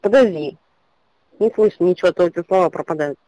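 A woman's voice: on the low side, brisk, dark tone, dry recording. A woman chatting casually and asking questions on an intercepted phone call.

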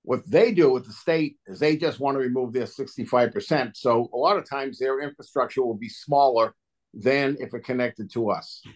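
Male utility official, medium pitch, plain-spoken and informal, explaining procedure on a video call. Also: normal speed. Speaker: water and sewer agency official at a hearing. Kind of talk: informal technical explanation at a public meeting.

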